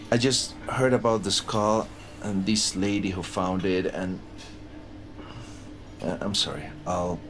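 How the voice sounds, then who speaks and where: An actor playing a weary, haunted retired detective in his sixties, talking plainly and haltingly in an audio drama. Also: low-pitched. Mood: halting, apologetic. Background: music.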